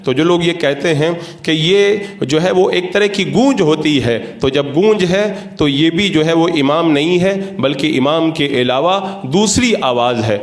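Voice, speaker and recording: Male cleric, slightly higher-pitched, formal and didactic religious lecturer, recorded with clear room echo. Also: a little slow, hardly any pauses. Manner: didactic, methodical, formal Urdu-laden diction. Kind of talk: religious lecture, explanatory sermon. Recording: roomy, echoing sound.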